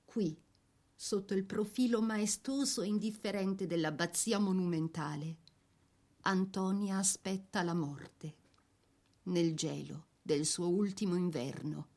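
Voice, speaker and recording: A woman narrating a scripted dramatic biography, solemn and measured, with deliberate pauses between phrases. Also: on the low side, mellow.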